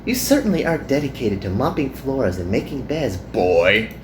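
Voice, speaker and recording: A man's voice: mid-range voice, very animated, normal speed, echoey room, noisy background, close to an everyday voice. A man voicing a teasing, haughty, seductive woman from an anime visual novel game.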